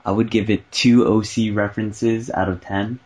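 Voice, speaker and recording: A man's voice: fairly low pitch, fairly even intonation, normal speed, darkish tone. A man giving casual opinions on a group film review over a lo-fi internet call. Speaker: panelist.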